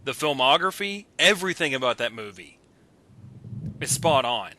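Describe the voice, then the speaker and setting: A man with a very bright, forceful voice chats casually about movies. He speaks as an opinionated guest commentator over a voice call. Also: medium pitch, very animated, moderate pace, a little hiss or hum. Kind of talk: casual opinionated film discussion. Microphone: voice call on a computer.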